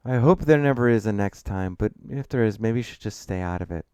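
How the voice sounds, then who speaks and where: A man's voice: on the low side, lively intonation, fairly quick, darkish tone, clear, resonant tone, dry recording, studio quality, close to an everyday voice. A man voicing an earnest, authoritative accountant in an audiobook, speaking in a firm, assertive way. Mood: annoyed. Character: earnest male accountant, authoritative, confident, easily annoyed.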